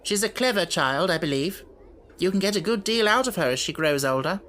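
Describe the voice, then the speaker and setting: A man's voice: high voice, expressive, a little fast, pushed and bright, a little hiss or hum, voice pushed up. A man playing a shrewd, unruffled businessman with a dry, detached manner in an audiobook story. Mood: coolly shrewd. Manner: dry, detached, matter-of-fact.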